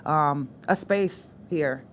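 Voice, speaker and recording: Lower-pitched woman, animated podcast guest. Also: extremely slow, a little hiss or hum. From Dominican Republic. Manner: animated, laughs often, mixes in Spanish words. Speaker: guest, sports host and movement coach. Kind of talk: casual podcast interview.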